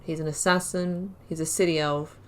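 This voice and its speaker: A woman's voice: sits low, steady tone, moderate pace, slight background noise. A young woman giving casual let's-play commentary, chatting and thinking aloud about her choices in the story. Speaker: gaming video creator.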